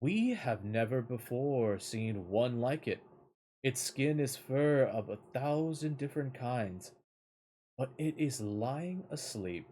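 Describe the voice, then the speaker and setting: Guy reading as a king's hunter in a fairy tale, matter of fact, unhurried, faint background noise. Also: medium pitch, lively intonation, dark tone. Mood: awed report.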